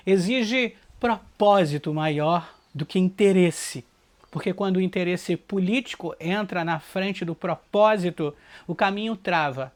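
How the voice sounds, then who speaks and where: A narrator with a Brazilian accent delivers reflective scripted narration in earnest, short, listed phrases. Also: mid-range voice. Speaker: book project organizer and narrator. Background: background music.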